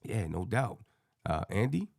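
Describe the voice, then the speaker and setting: A man hosts a casual sports fan show in a low, gravelly voice. He sounds hoarse and scratchy and talks in loose, slangy phrasing. The sound is dry, with little room to it. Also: very animated, unhurried, a little mellow, no echo. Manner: slangy, colloquial.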